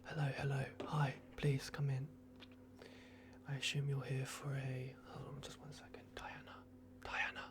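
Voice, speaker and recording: A man in an ASMR roleplay, playing a polite, flustered vampire at a clinic in a hushed, hesitant, apologetic way. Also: medium pitch, completely flat delivery, moderate pace.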